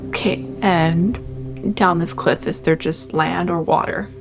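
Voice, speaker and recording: A woman's voice: fairly low pitch, fairly even intonation, slow pace, soft background music. A woman chatting casually as a player in a tabletop game, tentatively asking questions and stating her moves.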